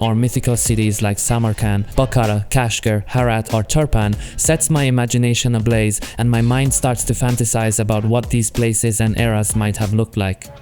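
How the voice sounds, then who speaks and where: A man's voice: on the low side, mellow. French-accented man narrating a devlog. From France. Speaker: solo game developer.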